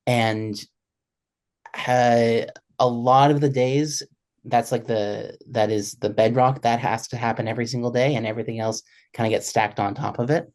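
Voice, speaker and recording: A man's voice: mid-range voice, fairly even intonation, moderate pace, darkish tone. A man talking casually as a panelist about his career as a music programmer for radio.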